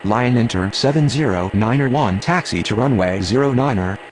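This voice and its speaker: A man's voice: mid-range voice, moderate pace, natural voice. A man playing an airline pilot or controller in a game, reciting scripted air traffic phraseology. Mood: procedural.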